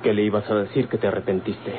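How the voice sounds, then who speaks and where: Actor as composed, low-voiced Mexican man. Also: fairly even intonation, quick pace, lightly acted. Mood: cautious, explaining. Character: composed, cautious Mexican man advising confidentially. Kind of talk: old-time radionovela acting. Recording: tinny, hissy old radio recording.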